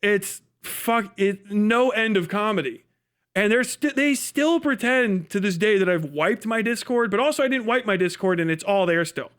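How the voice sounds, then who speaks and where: A man's voice: sits high, expressive, moderate pace, a bit bright, smooth. A man hosting a livestream, reacting to a video with casual, profane, sarcastic and giggly commentary. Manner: mocking, sarcastic. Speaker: livestream host.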